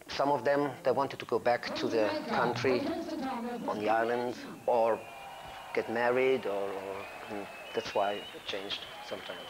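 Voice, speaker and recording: A man with a German accent, a pop singer speaking German as his first language, answering interview questions in halting, broken English. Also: mid-range voice, noisy background. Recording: interview with music playing behind.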